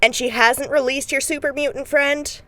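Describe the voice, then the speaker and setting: A woman's voice: high voice, steady tone, moderate pace, a bit bright, dry recording. An actress playing a chatty, folksy, suspicious townswoman in a post-apocalyptic audio drama. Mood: chirpy, probing. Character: Tammy, chirpy, nosy, suspicious townswoman.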